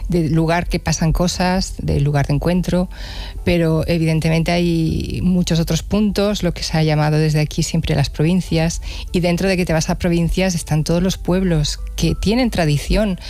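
A woman's voice: lowish voice, steady tone, normal speed, mellow, faint music behind. A woman with an accent from Spain, a musician giving reflective, conversational interview answers and rephrasing as she goes. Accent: Spanish from Spain.

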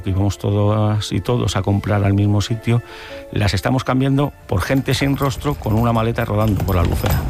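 A man with a fairly low voice and an accent from Spain gives spontaneous testimony. He is emphatic and rephrases as he goes, over faint music. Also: a little mellow. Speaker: neighbourhood association secretary, interviewee.

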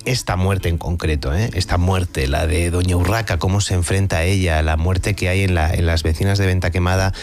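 A man being interviewed on radio, speaking reflectively and thinking aloud, with frequent pauses and restarts. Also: deep voice, steady tone, over music. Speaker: author interviewed.

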